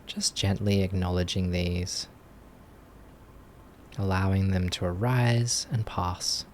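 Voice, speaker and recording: Slow, low-voiced man guiding meditation. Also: little pitch movement, slight background noise. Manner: gentle, unhurried, with long pauses between short phrases. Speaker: meditation teacher. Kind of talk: guided meditation. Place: live online group session.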